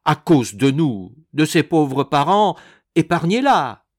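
A man voicing a loud, indignant, pushy stout matron in a dramatized storybook reading. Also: fairly high pitch, very animated, rapid-fire, no room sound, studio quality, voice pushed up, exaggerated voice. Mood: pleading. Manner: strident, exclamatory, imperious.

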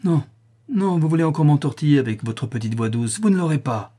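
A man voicing a fierce, quick-tempered woman prisoner who shouts and bullies, in a husky voice. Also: fairly high pitch, expressive, pitched above the performer's own voice. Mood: angry, scornful. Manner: harsh, shouting, bullying.

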